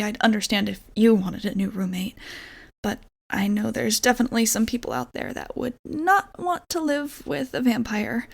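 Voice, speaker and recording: Girl doing a nervous lady vampire roommate for asmr, kinda high voice w lots of vocal fry, rambly n apologetic. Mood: nervous, reassuring. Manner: hesitant, rambling, self-deprecating, often dropping toward a whisper. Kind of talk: ASMR roleplay, softly acted monologue.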